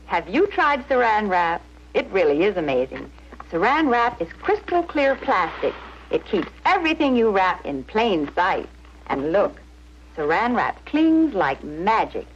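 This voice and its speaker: A woman's voice: mid-range voice, very animated, a little slow, slight background noise. A woman presenting a product demonstration in a vintage advertisement. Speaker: commercial presenter. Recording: dull lo-fi vintage ad audio.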